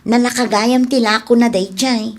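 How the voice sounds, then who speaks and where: A woman's voice: on the high side, steady tone, normal speed. A young woman playing a polite, thrifty student boarder in a radio comedy drama, speaking conversationally. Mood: matter-of-fact.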